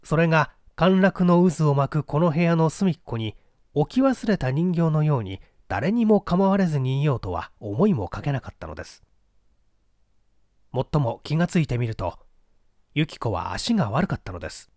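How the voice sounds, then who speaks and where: A man narrating mystery fiction as a scripted literary reading, with measured, formal storytelling. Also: a little high, sing-song, a little mellow, dry recording, studio quality. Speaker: literary narrator, stage performer.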